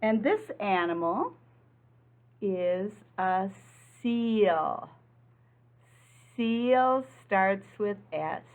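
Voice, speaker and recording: A woman's voice: mid-range voice, animated, extremely slow, pauses often, narrow, tinny audio. A woman preschool teacher presenting a lesson and reading aloud to young children, explaining simply and prompting them with questions. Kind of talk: children's lesson and picture-book read-aloud.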